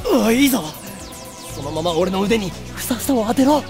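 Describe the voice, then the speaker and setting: A self-important young mad scientist, rattles off lines quickly and theatrically. It is visual novel game acting with faint music behind it. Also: male voice, high-pitched, lively intonation. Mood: grandiose assurance. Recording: game audio over background music.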